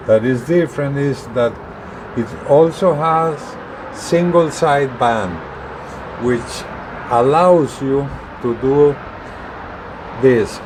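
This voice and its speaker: A man giving a casual how-to explanation to camera, speaking in halting, deliberate phrases with pauses mid-sentence. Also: mid-range voice, background noise. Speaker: vlogger, hobbyist radio user and truck driver.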